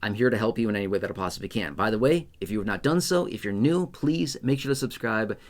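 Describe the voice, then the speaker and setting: A man's voice: lowish voice, fast talker. Man, channel host, casual explainer, reassuring, repetitive, frequent okay check-ins, close mic.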